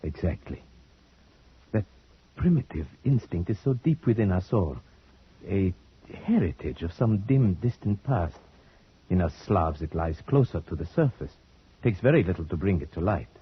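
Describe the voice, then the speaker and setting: An actor playing a suave, courtly Slavic gentleman in formal, deliberate old-time radio drama style. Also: deep voice, sing-song, husky, heavily acted. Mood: earnest, musing. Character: suave Slavic gentleman, guest and brooding narrator. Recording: dull old radio recording, faint noise.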